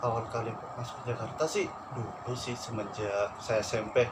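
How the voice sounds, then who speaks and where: A young man with a Madurese-tinged accent, telling his own stories in a relaxed, candid way during a casual chat. His voice has a middle pitch, and steady background noise sits under the recording. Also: normal speed. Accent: Madurese-tinged Indonesian. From Probolinggo, East Java. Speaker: radio announcer and aspiring actor. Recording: noisy background.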